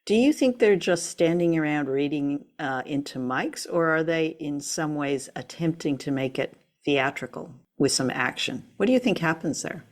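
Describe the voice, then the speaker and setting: A woman's voice: sits low, moderate pace, few pauses. A woman hosting a radio show and podcast in casual, engaged conversation, agreeable and inquisitive. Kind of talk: casual chat about old radio drama.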